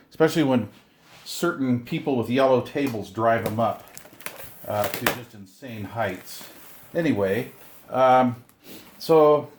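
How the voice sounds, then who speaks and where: Middle-aged man, medium pitch, casual unboxing host, chatty, rambling, self-deprecating grumbler. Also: a little hiss or hum. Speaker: video host unboxing radio gear. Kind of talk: casual unboxing chat to camera.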